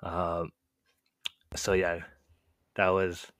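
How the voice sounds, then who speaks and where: A young man with a very low voice tells his childhood story in a loose, rambling way, peppering it with "like" and "um". He grew up speaking Korean first. His voice sounds dry and close, with no room around it. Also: very slow, no echo. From United States. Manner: relaxed, rambling, heavy use of "like" and "um" fillers. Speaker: podcast guest telling his life story. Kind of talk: casual storytelling chat.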